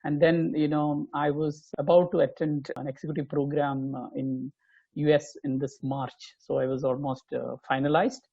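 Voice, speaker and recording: A man in his fifties with an Indian accent speaks earnestly over a video call, pausing on lots of fillers. His voice is gravelly and a touch husky, slightly higher than most men's, and the audio is dry and dull. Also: little pitch movement, mellow, no room sound. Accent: Indian English. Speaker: guest, chief information officer. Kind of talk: casual video call chat.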